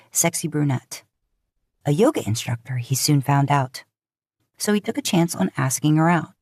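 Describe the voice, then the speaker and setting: A woman with a low voice reads fiction as an audiobook narrator. There is plenty of creak in her tone, and the recording is close and very dry. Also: darkish tone, no echo.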